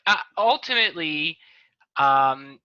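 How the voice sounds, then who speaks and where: Man host, very bright tense voice, dry dull lo-fi call audio, wry deadpan asides. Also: on the high side, takes it slowly, clean tone, no echo. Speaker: host, lawyer. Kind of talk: casual chat on a call-in show. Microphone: video call.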